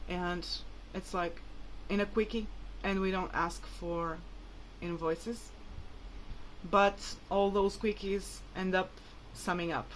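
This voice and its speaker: Slow-paced woman, native Portuguese speaker, rambling. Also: on the low side, steady tone, a little hiss or hum, rough recording. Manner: hesitant, rambling, informal. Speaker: vlogger. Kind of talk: casual rambling vlog to viewers.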